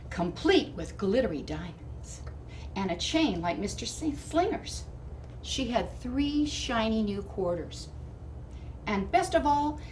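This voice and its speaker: A woman reading a storybook to children in expressive narration, with deliberate, emphatic phrasing. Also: fairly high pitch. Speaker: storybook reader. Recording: distant mic with clear background noise.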